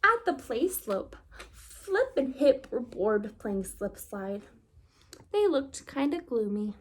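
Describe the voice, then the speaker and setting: A woman reading a children's story aloud as narrator, expressively, with deliberate phrasing for young listeners. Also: on the high side, big pitch swings, a little hiss or hum.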